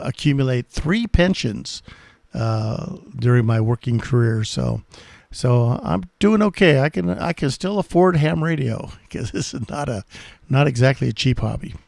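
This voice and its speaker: A man's voice: medium pitch, vocal fry, a little mellow, no room sound. American man in his sixties, dry close sound. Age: 60s. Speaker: amateur radio operator. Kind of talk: casual ham radio chat.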